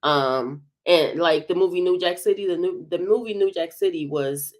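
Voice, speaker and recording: A woman hosting a livestream commentary, congested from a bad head cold, talking hesitantly with many filled pauses. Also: on the low side. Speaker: commentary channel host.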